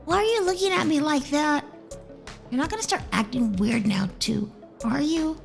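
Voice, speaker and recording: A woman's voice: high voice, expressive, normal speed, gravelly, soft background music. A woman acting as a feisty, blunt and suspicious little girl in an audio drama. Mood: worried, probing. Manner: sassy, blunt.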